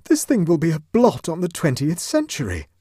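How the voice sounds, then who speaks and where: A man voicing a flustered, indignant middle-aged gentleman who gasps and protests in a high, panicky voice. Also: wildly swooping pitch, brisk, breathy edge, no room sound, studio quality, heavily acted. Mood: indignant, panicked. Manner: high-pitched, gasping and panicky.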